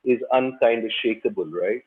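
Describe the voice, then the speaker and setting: A man who grew up on the subcontinent, an actor giving measured, thoughtful interview answers. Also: lowish voice, slightly rough. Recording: tinny phone-like line.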